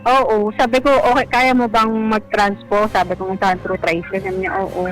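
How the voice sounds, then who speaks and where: A caller with a Filipino accent tells a personal story casually and haltingly, quoting back conversations. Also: medium pitch, level tone, a bit bright, soft background music, rough recording. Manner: halting, retells conversations as quoted dialogue. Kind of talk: casual spoken storytelling to radio hosts.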